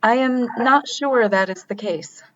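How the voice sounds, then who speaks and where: A woman playing a polite, literal-minded artificial crew member in a sci-fi radio drama, speaking in a formal, measured, matter-of-fact way. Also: fairly high pitch, lo-fi sound, a little hiss or hum, natural voice. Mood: puzzled, matter-of-fact. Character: polite, literal-minded reactivated artificial crewmate, puzzled by people.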